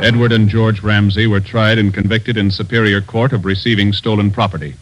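A male announcer from 1940s radio with a lowish voice. He reads in a formal, measured, official style. Faint music sits behind the old broadcast. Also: steady tone, rough recording.

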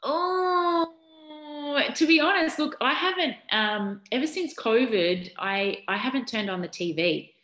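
A middle-aged Australian woman from Newcastle, a former basketball player, answering interview questions in a chatty, animated way with lots of ums and restarts. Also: high voice, takes it slowly. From Newcastle, New South Wales, Australia. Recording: echoey room.

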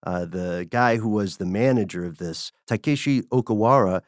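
Low-voiced American man, wry podcast storyteller. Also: normal speed, no echo, studio quality. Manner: playful, wry, mock-formal phrasing. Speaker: podcast co-host. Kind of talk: conversational storytelling with a co-host.

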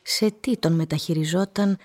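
A woman reading scripted narration for a radio play in a husky voice. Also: lowish voice, a little mellow.

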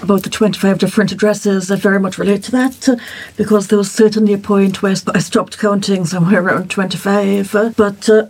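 A poet speaking as a panel guest in a measured, deliberate way, pausing often. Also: medium pitch, level tone, breathy edge. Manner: measured, deliberate, many pauses with "uh". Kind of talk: panel discussion.

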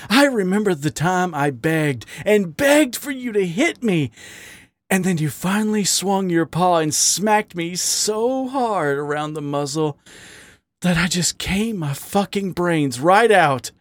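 A man's voice: sits high, expressive, slow, no room sound, pitched above the performer's own voice. A man voicing a wounded, self-mocking male raccoon who speaks with brittle wryness. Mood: twisted. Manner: wry, brittle, raised voice.

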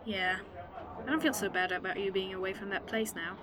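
A woman's voice: mid-range voice, brisk, background noise, natural voice. A woman playing a wry, protective time-traveling mechanic in an audio drama.

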